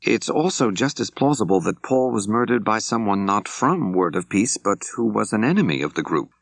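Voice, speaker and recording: A level-headed male law professor playing detective, voiced by a male narrator, speaks very low. He questions directly and in measured phrases, in the manner of an audiobook mystery. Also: lively intonation, gravelly, no room sound, studio quality. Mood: probing, calm.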